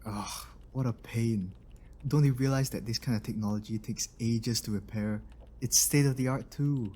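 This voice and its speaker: A man playing a grumbling but soft-hearted robotics engineer in a scripted audio drama. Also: medium pitch, moderate pace, natural voice. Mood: exasperated. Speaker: voice actor in an audio roleplay.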